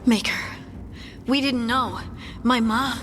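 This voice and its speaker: A woman's voice: fairly high pitch, fairly even intonation, slow, a bit bright, in a large hall, faint music behind. An actress playing an earnest, loyal young woman scout in a video game, speaking informally. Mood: concerned. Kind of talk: video game cutscene acting.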